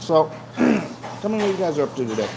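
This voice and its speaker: A man's voice: fairly high pitch, very animated, a little fast, gravelly. Casual livestreaming man, music in the background. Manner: talks between bites, with frequent savoring hums. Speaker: livestream host. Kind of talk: casual chat while eating on a livestream.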